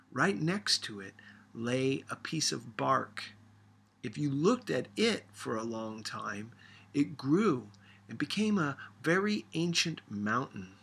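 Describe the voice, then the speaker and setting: A man narrating a literary story as an audiobook reader, with measured and deliberate phrasing. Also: medium pitch, creaky, sharp tone, breathy edge.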